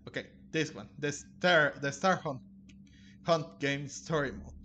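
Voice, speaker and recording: A man streaming a video game, reading its text aloud with a halting delivery and reacting as he goes. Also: on the high side, pushed and bright, a little hiss or hum. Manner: halting, stumbling read-aloud. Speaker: gaming streamer. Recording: game music behind the voice. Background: video game music.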